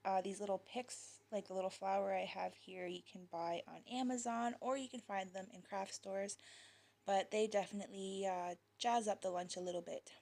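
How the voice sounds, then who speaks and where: A woman's voice: medium pitch, fairly even intonation, moderate pace, gravelly, a little mellow. A young woman talking casually about her kids' lunches, chatty with small laughs, her voice slightly worn. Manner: chatty, laughs lightly, fillers like um and uh. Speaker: parent showing kids' lunches. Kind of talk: casual voice-over vlog.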